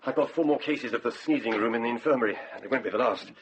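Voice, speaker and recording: An actor playing an amiable, caring monk infirmarer in a radio drama, courteous and conversational. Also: male voice, mid-range voice, fast, close to an everyday voice. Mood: friendly concern. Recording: dull, hissy radio drama recording.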